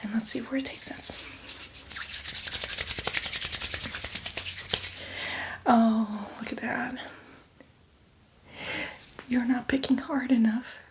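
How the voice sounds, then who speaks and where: A woman's voice: a little high, steady tone, unhurried, darkish tone, slight background noise. Woman playing a whispering, chatty zombie in ASMR. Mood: coaxing, impatient. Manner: rambling, reassuring, casual. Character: chatty female zombie, eager, apologetic, fussy, casually bloodthirsty.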